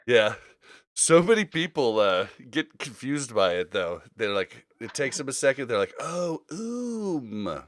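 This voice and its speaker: A man's voice: mid-range voice, big pitch swings, measured pace, no echo. A man hosting a casual gaming podcast, speaking conversationally into a close mic. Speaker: podcast host.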